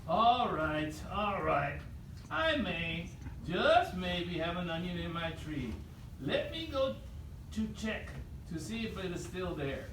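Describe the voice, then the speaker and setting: Woman voicing a swooping, animated woodland animal. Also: fairly low pitch, takes it slowly. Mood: grudging, sheepish. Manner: exaggerated, questioning, animated. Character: village animals, including a grudging, sheepish raccoon. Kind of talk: live children's puppet show. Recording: echoey room, distant mic, noisy background.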